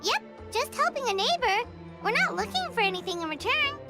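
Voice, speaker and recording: A woman's voice: extremely high pitch, sing-song, brisk. A woman voicing a tiny, cheeky fairy companion in a video game. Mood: breezy, reassuring. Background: childlike tinkling music.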